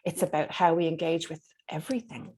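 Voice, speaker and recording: Low-pitched Irish woman, reflective and articulate. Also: fairly even intonation, fairly quick, a little mellow. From Dublin, Ireland. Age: 50s. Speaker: psychotherapist being interviewed. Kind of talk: reflective interview talk.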